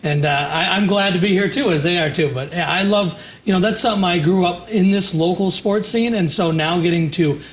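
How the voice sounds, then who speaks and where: Higher-voiced man, relaxed sports director. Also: brisk, hardly any pauses, slight background noise. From South Dakota. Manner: relaxed, rambling with restarts. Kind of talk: casual live studio interview.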